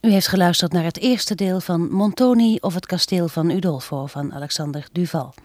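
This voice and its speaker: A woman's voice: fairly low pitch, moderate pace, darkish tone, no echo. A woman announcer formally reading the closing credits of a radio drama. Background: plucked guitar music.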